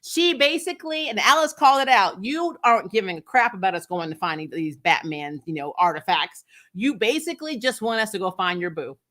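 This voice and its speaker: A woman's voice: mid-range voice, animated, fairly quick, fairly bright tone. A woman chatting casually as the host of a fan review show, sarcastic and incredulous, blunt with swearing and prone to laughing as she talks. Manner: laughs often while talking. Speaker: host of a TV superhero recap show.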